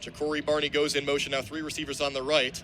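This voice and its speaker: An American man in his twenties calling live football on radio as play-by-play announcer, energetic and vivid. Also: a little high, quick pace. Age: 20s. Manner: energetic, vivid, builds to excited shouts on big plays. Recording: outdoor stadium ambience, clear background noise. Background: stadium noise.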